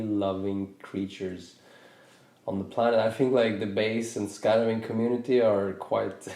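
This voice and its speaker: A man in his thirties from Norway talks slowly through his thoughts, circling back and restarting his sentences as he goes. His voice sits on the low side and sounds warm and mellow. The room around him is noticeably echoey. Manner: thoughtful, hesitant with many restarts. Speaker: podcast host. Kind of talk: reflective casual conversation.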